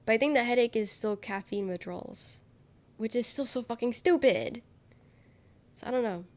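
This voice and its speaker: A woman's voice: on the high side, moderate pace. A young woman livestreamer chatting casually with viewers, her voice nasal and worn from being sick, rambling as she reads chat aloud. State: sick, congested voice.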